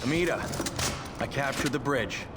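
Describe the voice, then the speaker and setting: A man's voice: mid-range voice, moderate pace, heavy background noise, natural voice. A man voicing a terse young fighter. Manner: terse, matter-of-fact reports. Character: young male fighter reporting by radio. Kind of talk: video game cutscene acting.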